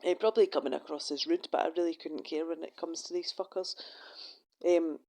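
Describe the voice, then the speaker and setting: Lowish-voiced Scottish woman, swearing freely. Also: fairly even intonation, a little fast, warm tone. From Scotland. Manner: profane, wry, talks in restarts and asides. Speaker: vlogger. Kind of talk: casual monologue to camera. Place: her room in a shared house.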